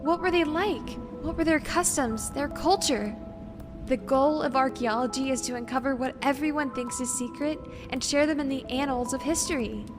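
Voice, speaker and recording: Woman playing an earnest, high-voiced young archaeologist. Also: normal speed, over music, natural voice. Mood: earnest, absorbed. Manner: precise, formal, eager to explain. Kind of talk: audio drama acting.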